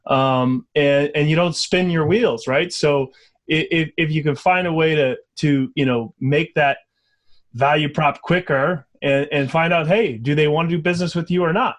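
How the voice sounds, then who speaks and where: A man hosting a podcast interview, relaxed and affirming, with halting restarts when he asks questions. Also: a little high. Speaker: podcast host. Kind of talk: casual interview chat.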